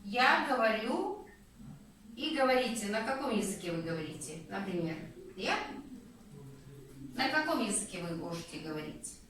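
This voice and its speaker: A woman teaching a Russian lesson one-on-one, guiding patiently with slow, clear prompts. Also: medium pitch, expressive, fairly bright tone. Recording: echoey room, distant mic, faint noise.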